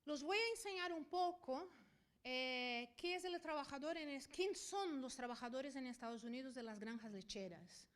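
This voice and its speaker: Woman, high voice, Brazilian with a Spanish-from-Spain accent, middle-aged, conference lecture, keeps asking "ok?". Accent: Brazilian Portuguese speaker with Spanish from Spain. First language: Portuguese. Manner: didactic, often checks in with a quick "ok?". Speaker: veterinarian and university professor giving a talk. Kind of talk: conference presentation.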